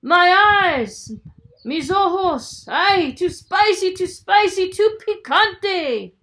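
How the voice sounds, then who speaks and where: A woman's voice: lively intonation, fairly bright tone, full character voice. A woman voicing a terrible, hungry male giant in a children's story, with a thunderous, roaring delivery. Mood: pained wailing. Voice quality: thunderous, booming. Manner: roaring, drawn-out exclamations. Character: terrible giant man, hungry, menacing, bellowing.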